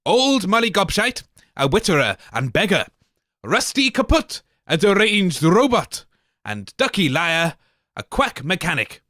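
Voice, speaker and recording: A man's voice: high-pitched, big pitch swings, unhurried, pushed and bright, no echo, studio quality. A man co-hosting a comedy radio show, telling a tale in a hammy, grandiose way. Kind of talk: comic hammy storytelling.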